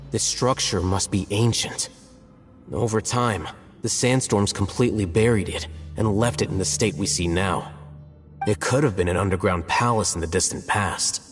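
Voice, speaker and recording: An actor voicing an aloof, analytical male scholar in a video game, speaking in a calm, measured and dry way. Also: lowish voice, close to an everyday voice. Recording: game audio with reverb and faint music.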